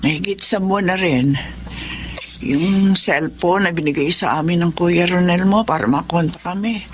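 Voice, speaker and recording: A woman's voice: fairly low pitch, moderate pace, natural voice. Actress as plaintive Filipina mother, some noise. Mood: resigned. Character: ailing, long-suffering mother with failing eyesight. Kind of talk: Tagalog radio drama acting.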